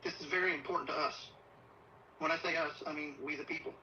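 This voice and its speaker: A man's voice: on the high side, fairly even intonation, pushed and bright. Man's distant, muffled, tense police statement. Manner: solemn, deliberate, urgent appeal. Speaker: assistant police chief. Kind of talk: earnest prepared video statement. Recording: distant, muffled playback with faint noise. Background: faint background noise.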